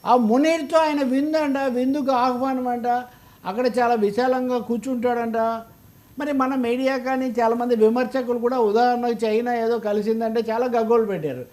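A man's voice: very high voice, fairly even intonation, normal speed, darkish tone. A man with a Telugu accent giving political commentary in an interview, arguing emphatically and throwing out rhetorical questions.

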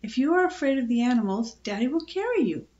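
Woman as a doting, pleading daddy, lively pitch. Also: fast talker, lo-fi sound. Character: soft, coaxing, indulgent father of a young girl.

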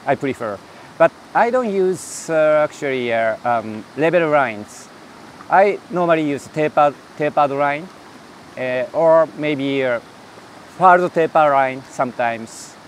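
Slow, halting Japanese-accented man. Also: a little high, lively intonation, slight background noise. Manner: hesitant, many pauses and fillers. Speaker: interview guest. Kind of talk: interview answers. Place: outdoors by a mountain stream. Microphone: lapel.

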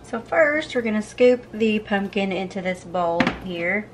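A woman's voice: mid-range voice, lively intonation, unhurried, music in the background. A young woman in her twenties chatting casually while cooking in her home kitchen, filmed on a camera set on the counter. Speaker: cooking vlogger.

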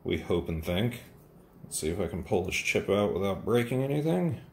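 A man's voice: low voice, sing-song, moderate pace, gravelly, a little hiss or hum. A man casually walking through an electronics hobby mod at his workbench, in a rambling, self-deprecating way. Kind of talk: casual technical walkthrough. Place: home workbench with computer gear.

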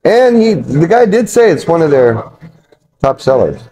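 A man's voice: fairly high pitch, sing-song, moderate pace, mellow, poor audio. A middle-aged man co-hosting a beer show in casual chat, blunt and slangy in a laid-back way. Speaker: co-host of a beer review show. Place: brick-walled pub, indoors at a table.

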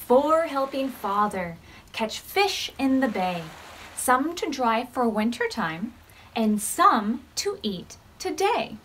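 Slow, higher-voiced American woman reading to preschoolers. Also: a little hiss or hum. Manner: expressive, measured reading with questions to young listeners. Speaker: children's librarian leading storytime. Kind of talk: read-aloud storytime for preschoolers.

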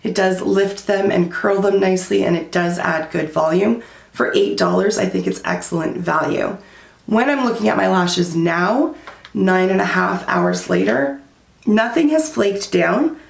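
A woman in her thirties giving a candid, chatty beauty product review at home, her voice going hoarse. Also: medium pitch, measured pace, reverberant. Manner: chatty and candid, many likes and fillers. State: losing her voice. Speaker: beauty vlogger. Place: small room at home, indoors.

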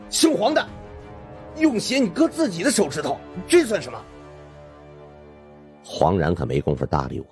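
A man's voice: sits low, a little mellow, slightly rough. A man giving dramatic scripted narration of supernatural suspense fiction as an audiobook narrator.

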